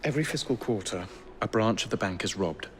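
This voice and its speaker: An actor playing an earnest, guarded Victorian London police sergeant in a video game cutscene. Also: male voice, on the low side, expressive, fairly quick, a little hiss or hum, lightly acted. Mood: earnest, confiding. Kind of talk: dramatic game cutscene acting.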